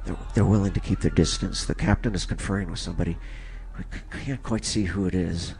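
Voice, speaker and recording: A man's voice: sits low, steady tone, darkish tone. An actor playing a panicked field reporter in a 1930s radio drama, breathless and stammering as he describes an alien attack. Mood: tense, hushed. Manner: breathless, halting, stammering delivery.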